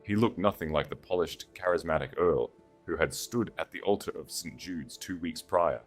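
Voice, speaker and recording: A man's voice: sits low, normal speed, faint music behind. A man reading a dramatic scripted romance as an audiobook narrator.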